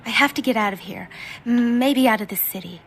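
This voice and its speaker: Woman acting young female game vampire, high pitch, distraught, breathy, halting, faint noisy ambience. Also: close to an everyday voice. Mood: hurt, anxious. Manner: breathy, anguished, halting delivery. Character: distraught young female thin-blood vampire, hungry and guilt-ridden.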